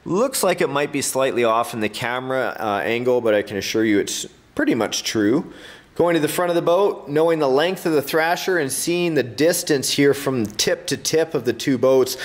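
Guy rambling thru an rc unboxing in his workshop, lots of uhs, mid-pitched voice. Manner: chatty, filler-heavy, laughs at own slips. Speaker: hobby video host. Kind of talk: casual unboxing commentary. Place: workshop studio with tool cabinets. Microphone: handheld camera mic, host mostly off screen.

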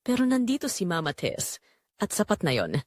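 A woman voicing a grown son in an audio drama, gentle and sorrowful as he forgives his mother. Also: medium pitch, huge pitch swings, moderate pace, no room sound, studio quality. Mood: gentle, forgiving.

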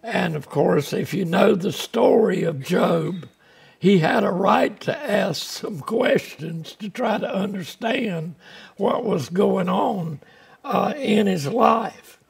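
A man's voice: high-pitched, expressive, takes it slowly, creaky. An older man preaching a folksy, plainspoken sermon from the pulpit of a small church, speaking through a lapel mic. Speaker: pastor.

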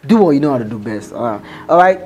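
A man playing a pushy, excitable casting director who repeats himself. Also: mid-range voice, fast, close to an everyday voice, low-quality recording. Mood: excited, amused. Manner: exclamatory, pushy, repetitive.

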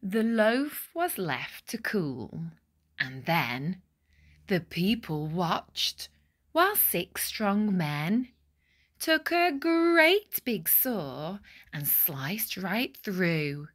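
A woman reading a rhyming children's storybook aloud with deliberate, dramatic phrasing. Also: medium pitch, sing-song, a bit bright. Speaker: picture book reader.

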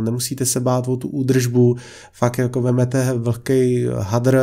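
Young male car vlogger, casual and opinionated, mellow low-key delivery. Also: gravelly. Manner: chatty, heavy filler words, blunt opinions. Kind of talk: casual car listing commentary.

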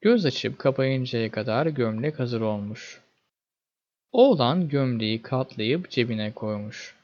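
A man narrating a scripted fairy tale as a storyteller, in an expressive, dramatic manner. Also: mid-range voice, slow pace, lo-fi sound.

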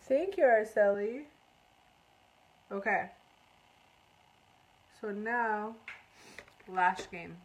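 A woman's voice: mid-range voice, lively intonation, extremely slow, pauses often, breathy edge, poor audio. A woman in her thirties from Iran, chatting casually on a live makeup tutorial and reading out viewer comments while she works. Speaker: makeup artist. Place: small indoor room before a curtain.

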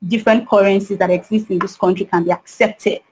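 A woman's voice: medium pitch, fairly even intonation, brisk, a little mellow, husky, rough recording. A woman in her thirties, a panelist on an online video call over a headset, speaking earnestly and often saying "you know".

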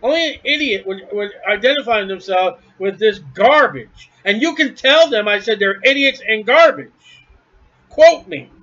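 A male preacher with a high-pitched voice delivers a blunt, scornful sermon from his house. He hammers each point home emphatically. The recording is dull and lo-fi. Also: animated, normal speed, a bit bright.

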